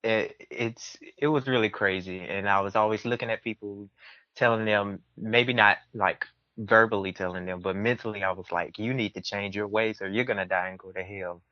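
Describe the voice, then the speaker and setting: A man's voice: lowish voice, steady tone, normal speed, dry recording, highs cut off. A man, telling personal stories casually as an interview guest. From southern United States. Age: early 20s. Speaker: podcast guest.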